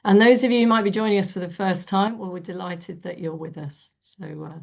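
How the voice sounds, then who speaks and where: A woman with a croaky voice, hosting a coaching webinar in a warm, chatty way with many fillers. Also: lowish voice, fairly even intonation, fairly quick. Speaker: webinar host. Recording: dull lo-fi webinar audio.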